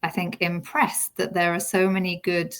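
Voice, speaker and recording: A woman's voice: lowish voice, monotone, moderate pace. A middle-aged woman with a British accent, telling her own story conversationally and reflectively as a podcast guest. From United Kingdom.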